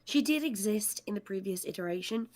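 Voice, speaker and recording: A woman speaking casually as a director on a discussion panel, thoughtfully explaining and pausing to recall details. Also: medium pitch, expressive, darkish tone. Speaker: audio drama director, writer and voice actor, panel guest.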